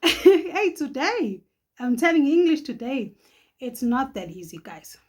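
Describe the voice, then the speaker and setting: A middle-aged woman from Johannesburg with a South African accent, hosting a casual advice vlog, chatty and quick to laugh, often tagging her sentences with "okay?". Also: high voice, sing-song, darkish tone, slightly rough. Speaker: channel host.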